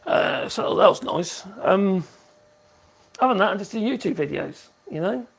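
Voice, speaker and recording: A chatty man in his fifties, hosting a relaxed woodworking livestream and wandering from topic to topic. His voice sits unusually high for a man and carries a rough, gravelly crackle. He drifts into a cooing, sing-song tone whenever he talks to his puppy. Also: moderate pace. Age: 50s. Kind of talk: casual livestream chat.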